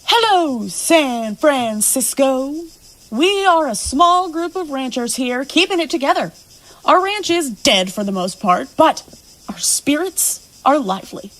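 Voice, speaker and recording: A woman's voice: sits high, expressive, a little slow, a bit bright, poor audio. A woman acting in an audio drama as a stubborn, protective, bossy rancher boss after the apocalypse. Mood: reassuring, wry.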